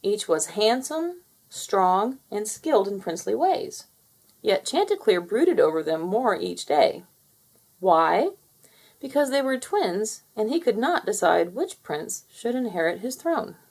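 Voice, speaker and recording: A woman reading a children's picture book aloud in an expressive storytelling manner. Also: mid-range voice, unhurried, breathy edge. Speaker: storybook reader. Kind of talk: children's read-aloud narration.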